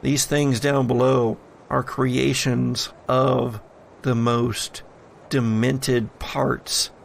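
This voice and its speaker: A man runs a horror tabletop game as game master, speaking slowly with a creaky edge. His storytelling is dramatic and deliberate as he addresses the players. There is faint noise in the recording. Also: mid-range voice.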